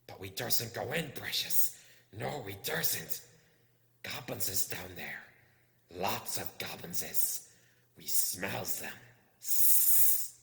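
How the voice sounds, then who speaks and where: A sly, wretched creature of the caves for a storybook reading. It hisses and whispers fearfully, muttering and repeating itself in broken grammar. The voice is sharp-edged and rings with long, echoing reverb. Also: male voice, fairly low pitch, takes it slowly, gravelly, full character voice. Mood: fearful hissing. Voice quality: hissing, whispery. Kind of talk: audiobook character voice. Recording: echoey room with long reverb.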